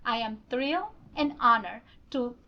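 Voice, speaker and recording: A woman's voice: on the high side, lively intonation, unhurried. A woman hosting a casual interview show, friendly and chatty. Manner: friendly, chatty, laughs often. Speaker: show host. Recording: slightly dull lo-fi sound.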